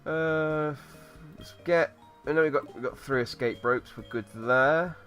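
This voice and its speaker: A man with a slightly hoarse voice from a cold, rambling and thinking aloud as he plays through a game and talks to viewers. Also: on the high side, measured pace, fairly bright tone, faint music behind. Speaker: let's play host. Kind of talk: casual gameplay commentary.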